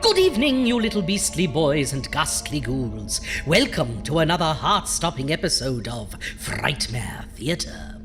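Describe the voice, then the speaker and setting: A woman's voice: deep voice, very animated, unhurried, hardly any pauses, long reverb tail, music in the background. A woman playing a vain, pompous ghoulish horror host in a campy audio show, with florid theatrical delivery. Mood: gleeful, grandiose.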